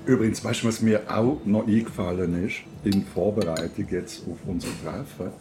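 A man's voice: lowish voice, fairly even intonation, moderate pace. A man from Switzerland chatting and reminiscing casually as an interviewer in conversation. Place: at a table with food being served. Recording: clear clatter of dishes and cutlery.

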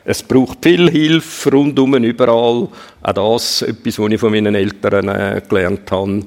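A man with a Swiss German accent, a former military pilot, telling stories from his past in a relaxed, hesitant way with many pauses. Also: fairly low pitch. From Switzerland. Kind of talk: casual storytelling.

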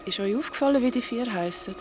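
An actress with a Swiss German accent playing a concerned, inquisitive friend in an audio drama, tentative and sympathetic. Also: female voice, on the high side, fast talker, natural voice. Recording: background noise and ambience.

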